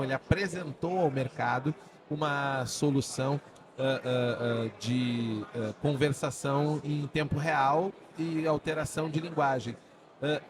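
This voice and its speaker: A man's voice: mid-range voice, background noise. A man from Brazil hosting a podcast who asks long, rambling questions. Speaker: podcast host. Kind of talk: podcast interview. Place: studio setting.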